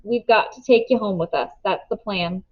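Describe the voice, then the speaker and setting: A woman voicing a gentle, weary country mother in a storybook, plain-spoken and folksy. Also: medium pitch, moderate pace, muffled recording, natural voice. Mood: earnest, reassuring.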